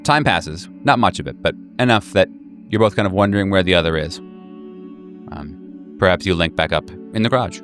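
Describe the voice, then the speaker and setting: A man's voice: deep voice, animated, moderate pace, fairly bright tone. A man running a tabletop horror game as game master, improvising narration in a casual way. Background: background music.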